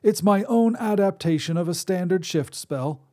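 Male narrator doing a kindly, reedy old wizard, pitched up, eager to please. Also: moderate pace, mellow, natural voice. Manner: polite, contrite, eager to please. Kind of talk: storybook reading.

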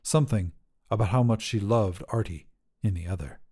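A man's voice: lowish voice, normal speed, a little mellow, slightly rough. A man narrating scripted short fiction as the host of a story podcast.

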